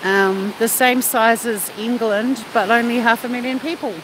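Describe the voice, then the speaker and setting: A woman's voice: on the high side, normal speed. A woman with an Australian accent chatting casually and cheekily as a live stream host, replying to viewers outdoors at the beach. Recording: loud steady wind or surf noise.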